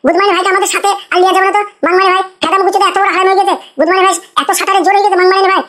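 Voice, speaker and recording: Very high, rapid cartoon drunkard voiced by a woman. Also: fairly even intonation, fairly bright tone. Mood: indignant ranting. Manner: ranting, swearing constantly. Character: foul-mouthed drunken young village man, cartoon buddy, grumbling. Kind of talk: crude comic cartoon dialogue.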